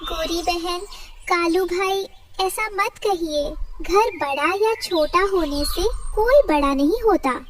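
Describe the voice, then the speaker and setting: A woman voicing a kind, humble mother sparrow in a cartoon, gentle and consoling. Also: extremely high pitch, slow pace, far from the mic, noisy background. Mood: forgiving, consoling.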